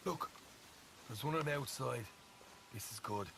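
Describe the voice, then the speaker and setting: An actor playing a brash, boastful young western outlaw, chatty and self-justifying, in a video game cutscene. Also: male voice, mid-range voice, unhurried, creaky, noisy background. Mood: hushed scheming. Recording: game audio with loud outdoor ambience. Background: horse hooves, outdoor ambience.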